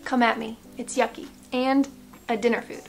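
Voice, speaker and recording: High-voiced American woman, playfully sarcastic. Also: normal speed, vocal fry. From United States. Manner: playfully sarcastic, animated asides. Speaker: vlog host. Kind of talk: casual reaction commentary, reading comments aloud.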